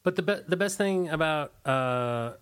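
A man's voice: fairly high pitch, expressive, measured pace, a bit bright, clear, resonant tone. A man talking casually as an interview guest, telling anecdotes in a loose, fragmented way. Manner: loose, fragmented storytelling peppered with 'like'. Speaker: musician being interviewed as guest.

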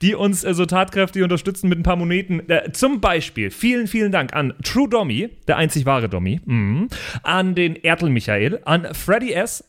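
A man hosting a tabletop podcast in speech, informal and jokey as he chats and makes announcements. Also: sits high. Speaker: player and podcast host. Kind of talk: casual improvised tabletop podcast chat.

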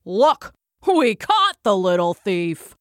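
A rough, mocking burly workman, as a woman storyteller puts him on. He talks slow and heavy, with plenty of swing in his voice. The sound is close and dry. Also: big pitch swings, no room sound. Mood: gruff mocking. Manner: gruff, mocking, lowered voice.

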